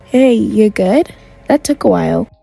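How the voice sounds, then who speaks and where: A young woman voicing a calm, attentive teenage girl on a date. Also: mid-range voice, a little slow, vocal fry, breathy edge, dry recording, soft background music, exaggerated voice.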